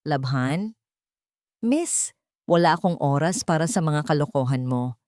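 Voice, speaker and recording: A woman's voice: low-pitched, lively intonation, measured pace, darkish tone, dry recording, clean studio sound. Irritable wealthy bachelor read by a female narrator, scornful, dismissive, swinging intonation, audio romance. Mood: mocking. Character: arrogant, irritable rich young man.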